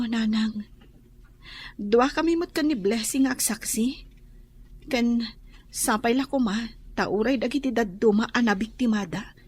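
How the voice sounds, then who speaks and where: Radio drama heroine: young, bashful, sincere, slightly raspy high voice, Filipino accent. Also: female voice. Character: earnest, modest young daughter, brave crime witness.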